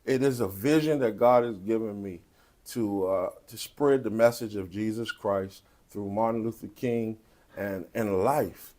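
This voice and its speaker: Low-voiced man, a pastor in interview. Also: measured pace, darkish tone. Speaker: pastor and play producer. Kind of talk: post-show interview.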